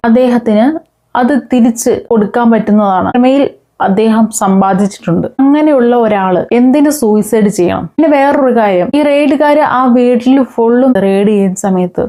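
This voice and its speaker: A woman with a Kerala accent hosts informal news commentary, talking to viewers in a conversational, hesitant way with frequent pauses. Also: fairly high pitch, dark tone. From Kerala, India. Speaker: online news commentary host.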